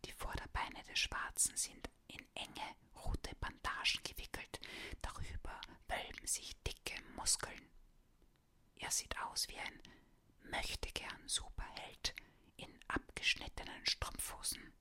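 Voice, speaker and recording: A woman reading a children's story aloud in a soft, unvoiced whisper. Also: slow pace.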